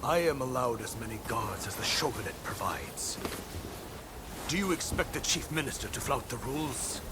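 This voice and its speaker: A man's voice: mid-range voice, very animated, normal speed, very noisy, close to an everyday voice. A man playing a haughty, defiant shogunate official in a video game, speaking in a curt, imperious manner. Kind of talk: dramatic video game cutscene acting. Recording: loud music behind the voice.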